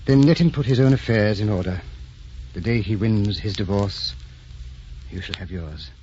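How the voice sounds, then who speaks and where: An aging, wounded aristocratic husband, played by a man in an old radio drama. The voice is low and very mellow, grave and formal in delivery. The recording is dull, with faint noise. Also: moderate pace, close to an everyday voice. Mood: stern, wounded.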